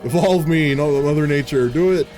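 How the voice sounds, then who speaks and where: A man in his thirties, a game streamer giving casual, excitable, jokey commentary while playing and laughing often. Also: on the high side, normal speed, soft background music. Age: 30s. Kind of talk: casual live gameplay commentary.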